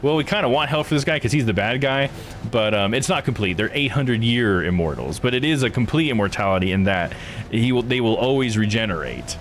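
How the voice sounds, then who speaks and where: A man's voice: medium pitch, lively intonation, fairly quick, a little hiss or hum. A man live-streaming a visual novel, reading its story aloud and chatting casually with viewers. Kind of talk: visual novel playthrough, narration and casual chat. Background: game music.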